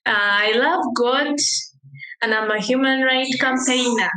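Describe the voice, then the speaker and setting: A teenage girl from Uganda introducing herself on a video call panel, speaking haltingly with pauses. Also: high voice, roomy sound, very noisy. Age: teens. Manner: slow, halting speech with hesitations. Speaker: student panelist.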